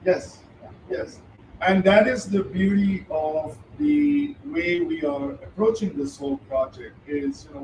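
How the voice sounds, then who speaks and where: A man's voice: high-pitched, gravelly. A middle-aged man, the founder, speaking hesitantly with many fillers to a live audience, holding a handheld mic. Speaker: founder of the treadmill venue. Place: event room with audience. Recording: roomy hall, voice from a distance, faint noise.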